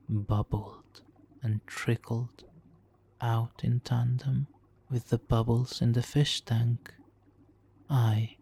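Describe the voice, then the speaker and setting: A man playing a smug, seductive supervillain hypnotist in an ASMR roleplay, speaking softly and theatrically with lapses into whispers. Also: lowish voice, a little hiss or hum. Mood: soothing, coaxing.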